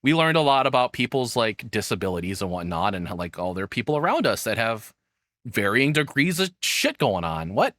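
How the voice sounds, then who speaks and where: A man's voice: medium pitch, very animated, brisk, a bit bright, no echo, studio quality. A man giving casual, unscripted reaction commentary as a video host, blunt and profane, exclaiming when worked up.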